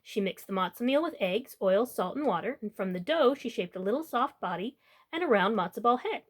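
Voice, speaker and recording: A woman's voice: on the high side, expressive, a little fast. A woman hosting a storytime, reading a children's picture book aloud as narrator.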